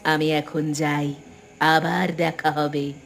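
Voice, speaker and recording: A woman voicing the calm, demanding ghost of a drowned wife in a cartoon, measured and eerie. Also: low voice, creaky, a little hiss or hum, heavily acted. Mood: calm, commanding. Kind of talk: Bengali cartoon horror voice acting.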